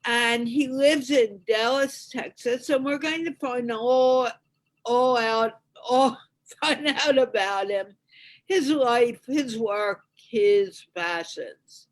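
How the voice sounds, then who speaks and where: Slow-talking woman hosting a casual interview. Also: fairly high pitch. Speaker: host of online interview show.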